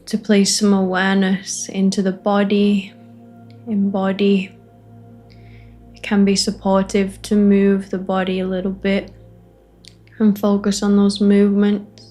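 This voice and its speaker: A woman facilitating a guided meditation, offering gentle invitations in short phrases with long pauses between them. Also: mid-range voice, monotone, faint music behind. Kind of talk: guided meditation, spoken softly to listeners.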